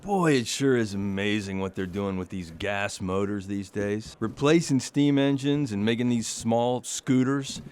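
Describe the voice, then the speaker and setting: A man's voice: on the low side, darkish tone, slight background noise. An actor playing an affable, curious small-town newspaperman in a radio drama, with a West Virginia accent. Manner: folksy, conversational.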